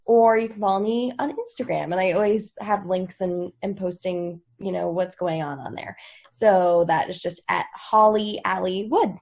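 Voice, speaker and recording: An actress chatting casually as a guest on an online video call. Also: female voice, lowish voice, moderate pace, vocal fry, darkish tone. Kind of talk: casual chat.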